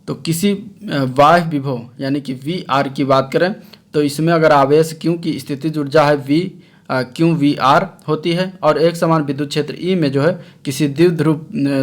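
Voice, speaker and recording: Male science teacher, voice a little high, didactic exam-prep lecturing from notes with regular check-ins. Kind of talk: explanatory lecture read from notes.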